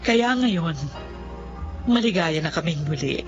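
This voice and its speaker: A woman's voice: lowish voice, lively intonation, unhurried. Remorseful mother, emotional radio drama. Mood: reflective. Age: middle-aged. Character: remorseful middle-aged mother betrayed by her lover. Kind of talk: melodramatic Tagalog radio drama acting. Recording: dull lo-fi audio under music.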